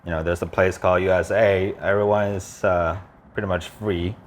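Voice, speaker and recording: A man's voice: low voice, flat delivery, brisk, slight background noise. A man in his thirties from China with a Chinese accent, speaking plain, slightly broken English as an interview guest. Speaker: guest, land investor. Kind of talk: casual interview.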